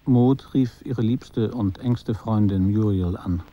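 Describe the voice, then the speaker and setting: An actor narrating a German radio drama as a detached, quietly observant storyteller, measured and understated. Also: male voice, fairly low pitch, muffled recording, a little hiss or hum.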